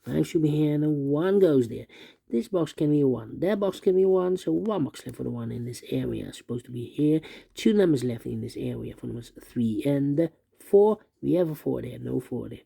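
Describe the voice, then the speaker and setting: A man with a very muted, dark voice that creaks at the edges. He talks through a puzzle step by step, methodically, in repeating sing-song phrases. The sound is dry and close. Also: medium pitch, lively intonation, moderate pace, dry recording. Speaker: puzzle instructor. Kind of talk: unscripted step-by-step sudoku tutorial, thinking aloud.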